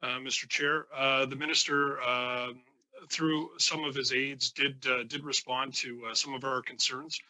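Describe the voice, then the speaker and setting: Bright, halting man testifying. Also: mid-range voice, little pitch movement, dry recording, low-quality recording. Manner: halting, many false starts. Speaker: union president, committee witness. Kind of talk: formal testimony answering questions.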